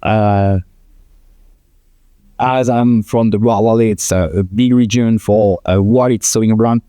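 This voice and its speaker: A man's voice: fairly low pitch, fairly even intonation, a little slow, dark tone, no room sound, clean studio sound. A middle-aged man from France with a French accent, a company president answering interview questions hesitantly with many fillers. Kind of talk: interview conversation.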